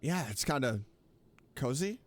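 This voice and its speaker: A man's voice: mid-range voice, big pitch swings, unhurried, noisy background. A man giving casual, playful VTuber gameplay commentary, exclaiming and repeating himself as he plays. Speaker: VTuber game streamer. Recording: game music playing behind. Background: video game music.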